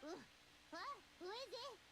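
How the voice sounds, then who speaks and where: Squeaky childlike game fairy, grumpy, wildly swinging extreme high pitch, faint noisy game sound. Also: female voice, lively intonation, a little slow, full character voice. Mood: sleepy grumbling. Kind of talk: video game voice acting. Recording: faint game audio, clear background noise.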